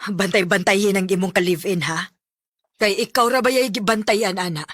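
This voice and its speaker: A woman's voice: medium pitch, fairly even intonation, normal speed, fairly bright tone, dry recording, lightly acted. An actress playing a watchful, meddling middle-aged mother figure with a Cebuano Filipino accent in a radio drama. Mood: warning. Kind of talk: Bisaya radio drama acting.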